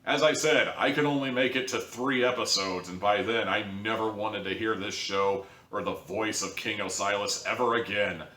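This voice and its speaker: A man's voice: on the low side, steady tone, moderate pace, hardly any pauses, bright, forceful tone. A man hosting an opinionated review, speaking in an exasperated, emphatic way. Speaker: host, audio drama reviewer. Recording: echoey room, distant from mic.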